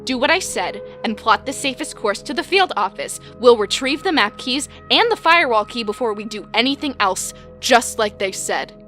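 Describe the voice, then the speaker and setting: A woman's voice: on the high side, lively intonation, fairly bright tone. An actress playing an emotional, determined woman who survives an apocalypse, in an audio drama. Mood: resolute. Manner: emotional, halting in grief, firm when resolved. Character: Leah, grief-stricken but determined woman survivor of an apocalypse. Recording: music behind the voice.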